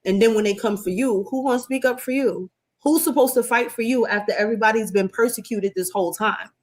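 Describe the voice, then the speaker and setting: A woman on a podcast argues forcefully, indignant and swearing. Her voice is a little high. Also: a little fast. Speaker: podcast co-host. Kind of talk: heated podcast commentary.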